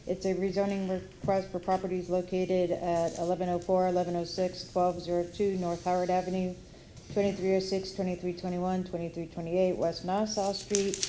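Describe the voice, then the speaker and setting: Planning staff lady reading addresses, flat low voice, echoey n noisy. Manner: businesslike, with fillers. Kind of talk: staff report.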